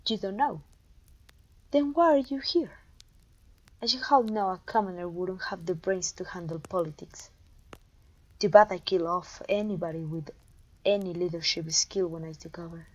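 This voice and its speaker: A woman's voice: lowish voice, normal speed, pauses often, muffled recording, lightly acted. A woman playing a haughty, domineering evil queen and sorceress in a scripted roleplay audio, imperious, scornful and theatrical. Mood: impatient, arrogant. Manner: imperious, scornful, theatrical, with sighs and disgusted groans.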